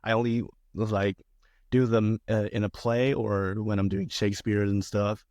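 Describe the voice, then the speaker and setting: Hesitant young man from China, low-pitched. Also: level tone, measured pace, darkish tone, dry recording. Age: young adult. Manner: hesitant, many fillers and restarts. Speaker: guest, theater student. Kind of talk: casual interview talk.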